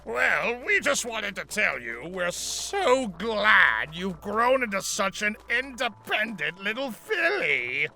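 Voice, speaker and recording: Guy doing a gravelly centaur bad guy gone doting dad, slow n courtly, sharp edge, cartoon drama. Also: high voice, sing-song, exaggerated voice. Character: gravelly centaur villain turned doting, lovestruck father. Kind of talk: fan fiction audio drama acting.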